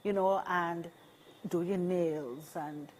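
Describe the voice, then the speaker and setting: A woman's voice: on the low side, steady tone, takes it slowly, darkish tone. Barbadian woman in her sixties, encouraging interview guest, upbeat and repetitive. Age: 60s. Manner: upbeat, encouraging, repeats key phrases. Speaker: senior advocacy organization representative. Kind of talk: casual interview conversation.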